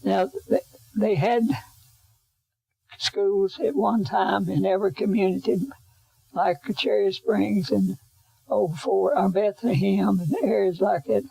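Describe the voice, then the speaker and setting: A man's voice: sits high, steady tone, slow pace, vocal fry, dark tone, slightly rough, no echo, low-quality recording. A man, recalling his early life in an oral history interview and repeating himself as he remembers. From western North Carolina. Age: 80s.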